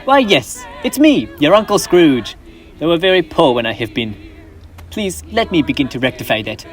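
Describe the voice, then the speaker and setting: A man's voice: on the high side, very animated, normal speed, soft background music. A teenage boy playing a reformed, now generous old miser in a school stage play, speaking earnestly with formal old-fashioned phrasing. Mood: kind. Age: teens.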